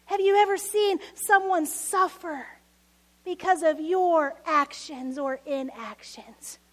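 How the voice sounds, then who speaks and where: A woman's voice: extremely high pitch, takes it slowly. A woman with a Texas accent preaching a sermon. She is earnest and emphatic, and sometimes drops her voice almost to a whisper. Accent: Texan.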